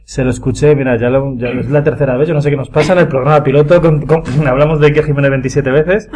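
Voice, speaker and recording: Male podcast panelist, Spain accent: rapid, rambling, repetitive, informal conversation. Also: mid-range voice, fairly even intonation, darkish tone, a little hiss or hum. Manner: rambling, repeats himself. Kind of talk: casual chat.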